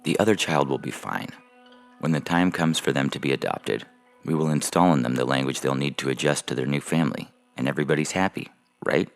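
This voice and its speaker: Very deep gravelly salesman played by a man. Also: brisk. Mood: smooth selling. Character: slick, callous salesman at an adoption agency. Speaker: voice actor reading a fiction podcast story. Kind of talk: dramatized short story reading. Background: music under the voice.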